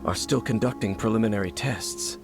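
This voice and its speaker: An actor playing a grave male scholar in a video game, speaking in a calm, measured, explanatory way. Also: on the low side, lightly acted. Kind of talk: game voice acting. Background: game music.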